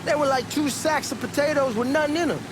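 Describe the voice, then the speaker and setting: An actor playing a cocky, talkative young hustler with an American Southern accent in a video game, speaking in loose slang. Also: male voice, very high voice, fast. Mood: joking, teasing. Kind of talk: acted game dialogue, casual banter. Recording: car engine and street noise behind. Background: moving car ambience.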